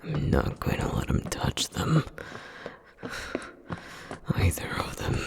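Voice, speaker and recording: A man playing a tense, guarded younger demon noble in an audio roleplay, speaking haltingly in a low, breathy voice. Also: in a whisper, natural voice. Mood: angry, shaken.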